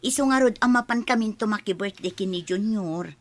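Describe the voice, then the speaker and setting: A woman's voice: mid-range voice, a little fast, lightly acted. A woman playing a practical, sociable wife and mother in an Ilocano radio drama, homely and conversational, with an Ilocano accent. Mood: matter-of-fact.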